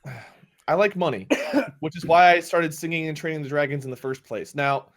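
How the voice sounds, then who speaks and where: A man improvising a boastful job pitch, laughing at his own jokes in a salesman's patter while talking over a call. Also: a little fast. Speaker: player pitching himself as a job applicant.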